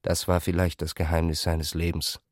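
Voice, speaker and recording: A man reading literary scripted narration in a radio drama, measured and reflective. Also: low-pitched, flat delivery, no echo, studio quality, close to an everyday voice.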